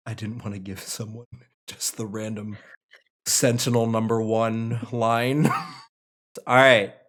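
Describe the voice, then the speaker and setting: A man's voice: mid-range voice, a bit bright. A young man hosting casually on a livestream, rambling and correcting himself as he explains and narrates. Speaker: host and aspiring screenwriter reading stage directions.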